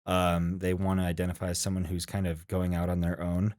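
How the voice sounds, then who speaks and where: A man's voice: sits low, monotone, a little fast, a little mellow, dry recording, studio quality. A man hosting an interview, easygoing and affirming toward his guest. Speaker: interview host. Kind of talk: casual interview talk.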